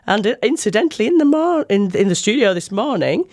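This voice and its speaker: A woman radio host with a New Zealand accent talks in a casual, chatty way. Her voice is a little croaky as she gets over an illness. She speaks into a studio microphone. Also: mid-range voice, sing-song, brisk, a little mellow. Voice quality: slightly croaky. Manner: chatty, informal.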